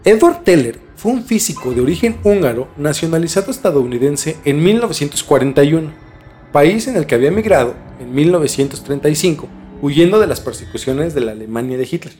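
Higher-voiced Mexican man telling a story. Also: animated, brisk, warm tone. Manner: colloquial, slangy. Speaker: podcast host. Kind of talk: conversational storytelling mixed with scripted narration. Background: music.

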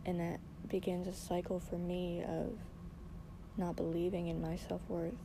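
Host woman, hoarse and quiet, voice cracking into fry, halting reflective monologue, noisy background hiss. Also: fairly low pitch, flat delivery. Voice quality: raspy. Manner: soft-spoken, halting, reflective, many pauses. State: losing her voice, hoarse. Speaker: podcast host. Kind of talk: personal solo podcast monologue.

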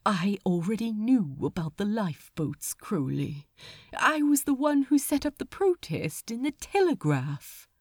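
A woman voicing a soft-hearted male angel, hesitant and contrite, with many fumbling pauses. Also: medium pitch, sing-song, dry recording, studio quality. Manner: contrite, hesitant, full of "uh" and "oh dear".

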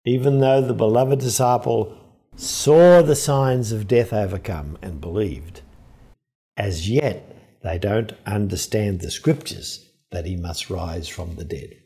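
A man with a low, mellow voice preaches at an unhurried pace. He is earnest and measured, and he dwells on each phrase as he reflects on scripture. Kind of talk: reflective Easter homily.

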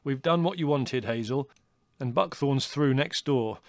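A man reading a storybook, voicing a steady, cheerful young male rabbit. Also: mid-range voice, a little slow, dry recording, pitched above the performer's own voice, lightly acted. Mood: eager.

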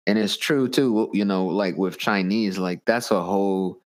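A man from the United States speaks in a low voice as a podcast host. His interview style is loose and conversational, full of informal hip hop slang. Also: a little slow. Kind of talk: casual interview chat.

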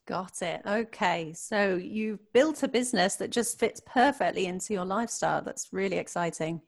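A woman's voice: medium pitch, moderate pace, vocal fry. A woman hosting a podcast interview, friendly and encouraging as she reacts and asks questions. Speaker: podcast host. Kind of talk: casual interview conversation.

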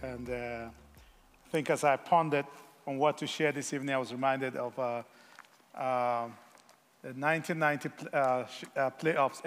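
A man, a guest missionary speaker giving a sermon, pausing often with fillers and self-deprecating humor. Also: mid-range voice, fairly bright tone, long reverb tail. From Africa. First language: Yoruba.

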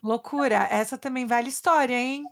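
A woman's voice: a little high, normal speed, a crowd in the background. A woman from Brazil hosting a podcast, talking in a casual, enthusiastic way with her guest and her listeners. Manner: warm, chatty, enthusiastic. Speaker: podcast host. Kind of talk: casual podcast interview and outro. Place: Boston area, United States.

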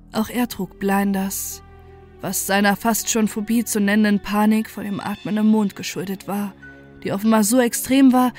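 A woman reads a scripted horror tale as narrator. Her voice sits at a medium pitch, and her phrasing is deliberate and dramatic. Quiet music plays beneath her. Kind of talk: scripted horror story narration, first person.

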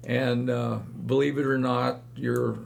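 Older man with a deep gravelly voice. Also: little pitch movement, slight background noise. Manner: slow, rambling storytelling with hesitant fillers. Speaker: guest, longtime club runner. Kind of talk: casual reminiscing chat.

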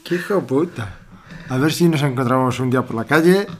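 A man speaks at an ordinary middle pitch in a relaxed podcast chat. He is blunt and jokes with playful swearing. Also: brisk. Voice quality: lower voice than his co-host. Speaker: podcast co-host. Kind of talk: casual chat.

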